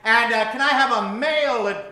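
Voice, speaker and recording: A man's voice: very high voice, normal speed. A man hosting a live improv comedy show, playfully working the audience from a theater stage. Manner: playful, repeats audience shouts back. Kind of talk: live comedy crowd work. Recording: very echoey theater, audible crowd.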